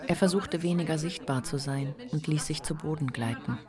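Radio drama narrator, literary scripted prose, medium pitch, busy crowd behind. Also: moderate pace.

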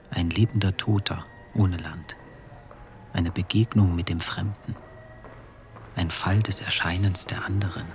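A man reciting poetic, scripted radio play text in deliberate short phrases. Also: sits low. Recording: clear music under the voice. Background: background music.

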